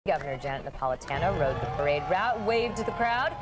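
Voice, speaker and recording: Lively female news anchor. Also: low-pitched, fast talker, background noise. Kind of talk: news reading.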